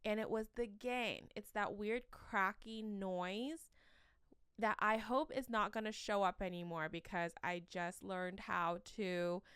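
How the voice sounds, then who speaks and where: A young woman hosting a casual solo podcast from the corner of her bedroom, with a shrill voice, rambling and self-mocking between fillers, sleepy. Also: a bit bright, clear, resonant tone, dry recording, studio quality. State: sleep-deprived. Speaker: podcast host.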